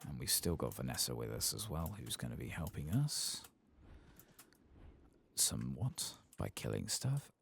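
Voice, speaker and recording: Very deep, soft-spoken man with creaky voice. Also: huge pitch swings, unhurried, a little hiss or hum. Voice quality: soft, hushed, sometimes slipping into whispers. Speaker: video game streamer. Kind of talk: soft-spoken ASMR game playthrough commentary.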